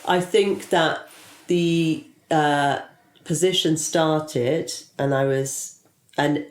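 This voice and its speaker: A woman's voice: deep voice, fairly even intonation, drawn-out words, a little mellow. A woman in her forties, a PR consultant and interview guest, chatting casually and rambling with many restarts. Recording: echoey room.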